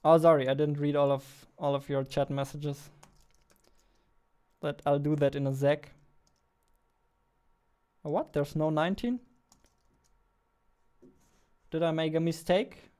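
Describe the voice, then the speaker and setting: A man, chatting casually on a livestream while he works, thinking aloud in a halting way. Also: fairly high pitch, dark tone, clear, resonant tone. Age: 20s. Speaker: game developer and streamer. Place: indoor room at a computer, keyboard clicks behind.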